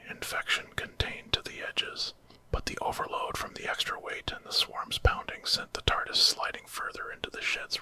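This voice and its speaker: A man's voice: very high voice, bright, forceful tone. A man narrating a horror story as whispered ASMR, in a dramatic storytelling manner.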